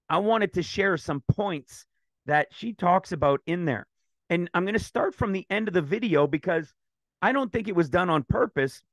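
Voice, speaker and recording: A man teaching scripture over a screen share, talking in an excited, rambling way with a slightly raspy throat. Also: sits high, no room sound, poor audio. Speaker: ministry teacher.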